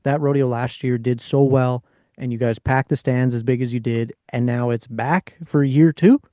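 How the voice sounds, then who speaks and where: A man co-hosts a podcast with a laid-back, slangy manner and easy enthusiasm. His voice is mellow, and the recording is dry and close. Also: medium pitch, fairly even intonation, normal speed, no room sound. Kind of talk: casual podcast chat.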